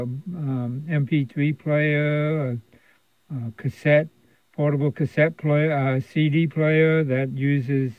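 Phone-like narrow audio, man, mid pitch, dry room, casual demonstration, halting explanatory delivery. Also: dry recording. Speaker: radio restorer presenting a repair. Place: indoor home electronics workshop. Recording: tinny, phone-like band-limited sound.

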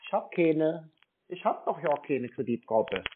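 Man, higher-pitched voice, narrow phone sound, casual customer talk, insistent, repetitive, naive-sounding. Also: very animated, a little slow, breathy edge. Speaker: prank caller posing as customer. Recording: tinny narrow phone line.

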